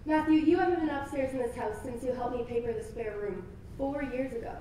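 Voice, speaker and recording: Woman doing a stern brisk old spinster, clipped commands, echoey, far from the mic. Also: sits high, dark tone, slight background noise, close to an everyday voice. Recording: echoey, distant, music behind.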